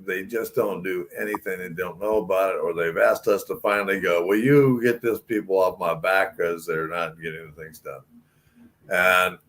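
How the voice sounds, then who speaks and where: A man's voice: deep voice, steady tone, normal speed, fairly bright tone. A man talking casually on a panel as a paranormal investigator. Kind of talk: casual chat. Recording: noisy line with background ambience.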